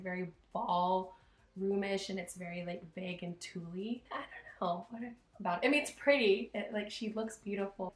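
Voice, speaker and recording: Slightly hoarse woman in an echoey room. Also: medium pitch. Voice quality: slightly rough, strained voice. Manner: chatty, informal, thinking aloud with lots of "like". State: hoarse from losing voice. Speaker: wedding planner and video host. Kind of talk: casual reaction commentary. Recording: roomy, echoey home-video sound.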